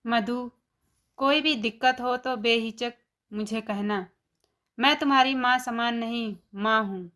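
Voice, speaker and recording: A kind, indulgent mother-in-law from an animated family story, acted by a woman. Her voice is slightly high and very slow, with a gentle, reassuring way of talking. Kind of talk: animated moral story dialogue.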